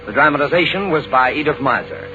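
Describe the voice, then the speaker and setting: A man's voice: a little high, expressive, quick pace, pushed and bright, faint music behind. A man announcing and reading sponsor messages in a polished, emphatic 1940s radio style. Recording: noisy, dull 1940s radio broadcast.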